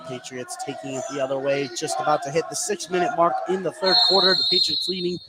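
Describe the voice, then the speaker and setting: Male play-by-play basketball announcer, slightly high voice, live radio call over clear background noise. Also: flat delivery, fast talker. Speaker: play-by-play sports commentator. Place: indoor basketball gym, courtside. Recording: clearly noisy live gym ambience.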